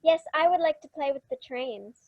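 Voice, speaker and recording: A woman acts out a little girl in an old-time radio play. She is polite and careful, with a high, childlike voice. The sound is slightly dull. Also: lively intonation, brisk.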